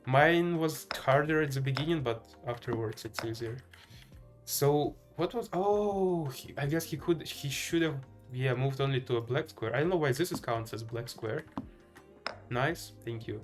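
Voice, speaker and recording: A man from Ukraine with a Ukrainian accent, giving casual live game commentary and chatting to viewers in a hesitant, thinking-aloud way. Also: mid-range voice. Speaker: live streamer playing chess.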